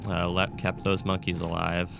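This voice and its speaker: A man with a raspy, scratchy throat talks his way through a video game in a casual, thinking-aloud manner. His voice sits low and has a gravelly fry to it. Faint music runs underneath. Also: completely flat delivery, normal speed. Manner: chatty, thinks aloud with fillers. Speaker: gameplay commentator. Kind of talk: casual let's-play commentary.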